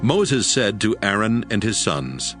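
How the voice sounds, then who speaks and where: A man reading scripted scripture narration for an audiobook in a measured, solemn way. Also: lowish voice, very animated, fairly bright tone. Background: music.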